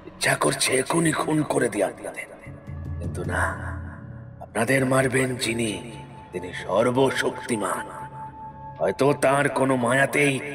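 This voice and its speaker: A man playing a stern, sorrowful, quietly menacing ghost in an audio horror story, speaking in a measured, deliberate way. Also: mid-range voice. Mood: bitter reproach.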